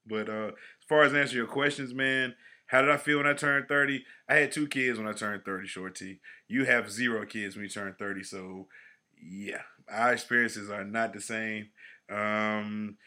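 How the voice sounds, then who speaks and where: Podcast co-host, male, 40s, bright tone, slangy and animated, loud then mumbling at phrase ends. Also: lowish voice, normal speed. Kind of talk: casual chat.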